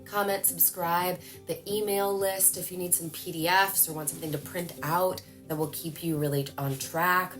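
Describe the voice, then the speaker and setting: A woman's voice: lowish voice, moderate pace, hardly any pauses. Chatty woman coaching with music behind. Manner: lively, chatty, animated hand gestures. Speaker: voice-over coach. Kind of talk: casual tutorial to camera. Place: small room at home, indoors.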